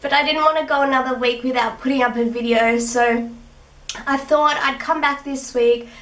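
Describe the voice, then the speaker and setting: A woman's voice: high voice, steady tone, normal speed, a bit bright. Sick woman vlogging skincare. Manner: chatty, giggly, with fillers. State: sick, husky rough voice. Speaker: beauty vlogger. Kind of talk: casual skincare tutorial. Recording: echoey room, mic at a distance.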